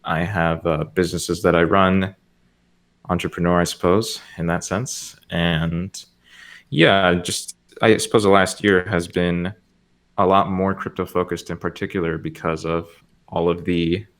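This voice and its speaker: A man's voice: sits low, fairly even intonation, unhurried, a bit bright. A young man with an American accent whose first language is Spanish, chatting casually as a podcast guest and rambling with lots of fillers. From United States. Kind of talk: casual chat.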